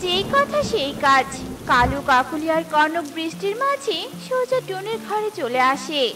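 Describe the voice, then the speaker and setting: Woman, very high pitch, children's story narration, scripted, loud music bed. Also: big pitch swings, a little slow.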